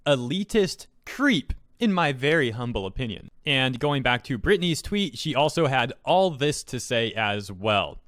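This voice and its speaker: A man with a mid-pitched voice delivers opinionated commentary as an online video host. His manner is sarcastic and mocking, leaning on rhetorical questions. The sound is dry, with no room echo. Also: very animated, unhurried, few pauses, fairly bright tone. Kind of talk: opinionated online commentary, reading out tweets and reacting.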